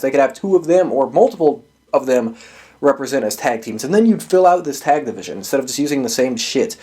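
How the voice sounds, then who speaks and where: A man talks solo as a podcast host, offering casual and opinionated sports-style predictions. His delivery is blunt and exasperated, peppered with swearing. The voice sits at a middling male pitch. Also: darkish tone, breathy edge.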